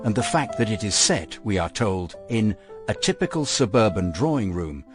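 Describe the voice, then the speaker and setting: A man with a fairly low voice delivers a scripted radio introduction. His manner is measured and formal, and music plays clearly beneath him. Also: big pitch swings. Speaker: announcer introducing a radio play. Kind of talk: scripted narration. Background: music under the voice.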